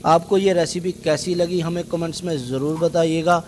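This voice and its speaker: A man's voice: a little high, fairly even intonation, moderate pace, a little hiss or hum. A man with a Pakistani accent, narrating a recipe as the host of a cooking channel.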